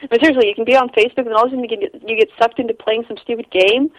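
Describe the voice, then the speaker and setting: A woman's voice: a little high, fairly even intonation, rapid-fire, no room sound, low-quality recording. A young Icelandic woman with an American accent, a photographer guest chatting in an interview, rambling with lots of restarts and easy laughs. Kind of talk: casual interview conversation.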